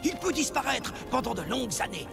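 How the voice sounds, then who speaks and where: Creaky, high-pitched solemn clan sage. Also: male voice, big pitch swings, fairly quick, full character voice. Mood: solemn, mysterious. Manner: solemn, storytelling delivery. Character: wise male clan elder, solemn keeper of legends. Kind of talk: animated children's cartoon dubbing. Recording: music under the voice.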